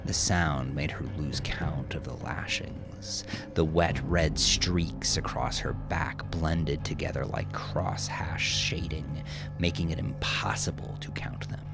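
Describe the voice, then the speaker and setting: A man narrating a dark audiobook story in a grave, suspenseful, deliberate manner. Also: extremely low pitch, fairly even intonation, vocal fry, whispered. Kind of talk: dramatic scripted audiobook narration. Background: music under the voice.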